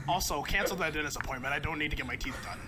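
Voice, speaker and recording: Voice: medium pitch, racing, very noisy, close to an everyday voice. A performer voicing a grandiose, crude villain scientist in an improvised comedic game dub, ranting and theatrical. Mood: boastful.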